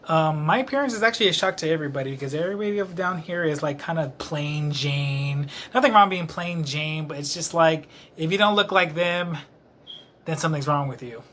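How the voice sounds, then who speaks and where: Bright-voiced man rambling, a bit tipsy. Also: fairly high pitch, fairly quick, slight background noise. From Dallas, Texas. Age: late 20s. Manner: self-deprecating, rambling, many fillers. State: a little tipsy. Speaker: vlogger. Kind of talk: rambling casual monologue to camera. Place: his own apartment, indoors. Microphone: camera close-up.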